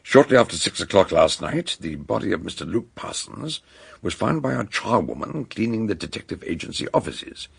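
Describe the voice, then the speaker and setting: An actor playing an eccentric, wordy older British detective doctor in a radio drama, genial and courteous, with a gravelly, husky voice. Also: male voice, on the low side, sing-song, no echo, exaggerated voice. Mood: grave, deliberate. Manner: wordy, deliberate, old-fashioned courtesy.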